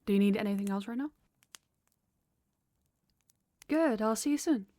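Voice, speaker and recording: A woman playing a kind, practical vampire guide in an audio roleplay, speaking in a warm, reassuring manner. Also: medium pitch, fast talker, no echo, close to an everyday voice. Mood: caring.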